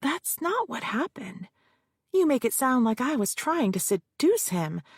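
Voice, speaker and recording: A woman's voice: high-pitched, very animated, a little slow, breathy edge, dry recording, voice pushed up, exaggerated voice. A defensive young woman on the witness stand, as a narrator voices her, drawling in a Southern California accent and keeping her answers short. The voice sits close and dry, with no room sound.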